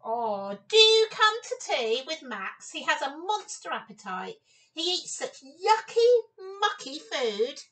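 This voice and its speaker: A woman in her fifties giving an expressive storytime read-aloud for young children, with exclamations and chatty asides. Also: high-pitched, takes it slowly, distant mic, rough recording. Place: small room at home, indoors.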